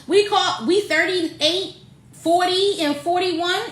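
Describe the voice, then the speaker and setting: A woman in her late thirties talks in an animated, slangy way. She laughs often and sits high in her range. The room around her rings with echo. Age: 30s. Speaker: podcast co-host, mother. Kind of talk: casual chat.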